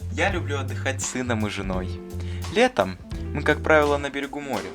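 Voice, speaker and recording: A man plays a thoughtful, kindly schoolteacher for an animated film. He explains in an earnest, didactic manner with bookish phrasing. Music plays clearly behind the voice. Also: medium pitch, fairly quick, natural voice. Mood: warm, reflective. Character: thoughtful, kindly male schoolteacher who talks like a gardener. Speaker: teacher answering interview questions. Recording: voice over clear background music.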